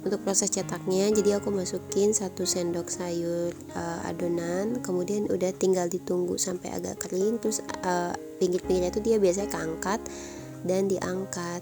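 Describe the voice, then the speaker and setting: A woman narrates a home cooking tutorial over clearly audible music. Her voice is on the low side and very even, with a stuffy, nasal sound from a cold. She explains each step in an informal, conversational way. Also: moderate pace. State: stuffy nose from flu. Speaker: home cook presenting a recipe. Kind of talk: casual recipe tutorial voice-over. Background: background music.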